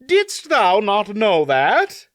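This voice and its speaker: A man voicing a chivalrous, formal-spoken knight, a little raised and bright. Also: high voice, wildly swooping pitch, extremely slow, no echo, studio quality, pitched above the performer's own voice, exaggerated voice. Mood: earnest. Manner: old-fashioned formal speech. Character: boastful chivalrous male knight, formal, archaic.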